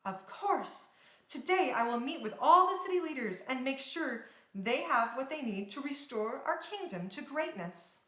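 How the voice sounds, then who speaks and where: Storybook princess, teens, played by a woman reader: high-pitched, very lively, headstrong and earnest. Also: a little slow. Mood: determined. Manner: emphatic, indignant, earnest. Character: spirited, stubborn teenage crown princess, eager to lead. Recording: roomy indoor echo.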